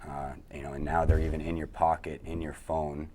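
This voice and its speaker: Man, very deep voice, friendly chat, light noise. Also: level tone, fairly quick, a little mellow. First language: Italian. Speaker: web design company partner. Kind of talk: casual interview talk.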